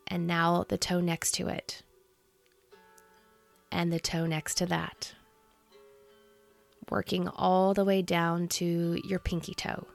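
A woman in her thirties leading a guided meditation in a soothing, gentle, unhurried manner. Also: on the low side, monotone, gravelly, soft background music. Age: 30s.

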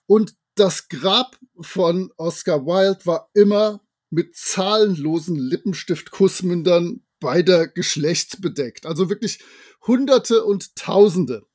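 A man's voice: sits high, slow pace, darkish tone, no echo, clean studio sound. A man co-hosting a podcast, talking in a wordy, jocular way with colloquial asides. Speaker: podcast co-host. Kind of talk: chatty podcast narration.

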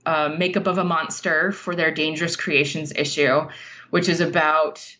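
A woman giving conversational interview answers as a guest writer, explaining things in a thoughtful, measured way. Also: low voice, fairly even intonation, bright, forceful tone, highs cut off.

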